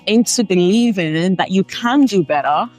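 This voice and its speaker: A woman's voice: mid-range voice, expressive, normal speed, a little mellow, faint music behind. A woman with a Nigerian accent, a company founder speaking as an interview guest in an emphatic, encouraging way. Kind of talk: motivational interview talk.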